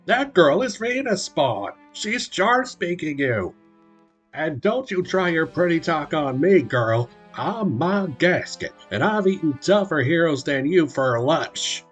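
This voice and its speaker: A man voicing a huge, bossy mother Cyclops who growls and scolds loudly and slowly. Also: on the high side, very animated, slightly rough, soft background music, voice pushed up, heavily acted. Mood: scolding. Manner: growling, loud, slow and scolding.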